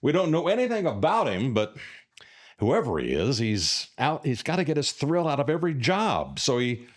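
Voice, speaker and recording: A man's voice: mid-range voice, huge pitch swings, normal speed. Audio drama role for a man: no-nonsense homicide cop, mid-range voice, stern orders with big melodic emphasis. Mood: explaining. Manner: stern, emphatic, plain-spoken. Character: bossy, no-nonsense male homicide police inspector. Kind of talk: live radio-style audio drama acting.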